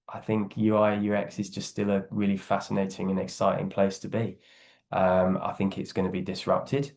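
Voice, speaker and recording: A man's voice: low voice, darkish tone. A man speaking on a video call as a guest speaker, giving a loose webinar talk and answering questions, with many fillers and restarts.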